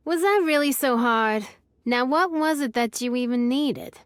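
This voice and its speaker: A woman's voice: sits high, unhurried, dry recording, clean studio sound. A woman playing a jaded, impatient records clerk in a game, curt and sarcastic. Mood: irritated, bored. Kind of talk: video game character acting.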